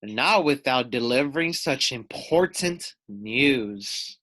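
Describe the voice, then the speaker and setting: A man puts on a sly Arctic fox for a storybook read-aloud. The trickster is dramatic and scheming, with a very animated delivery. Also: mid-range voice, slow, full character voice, low-quality recording. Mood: sly coaxing.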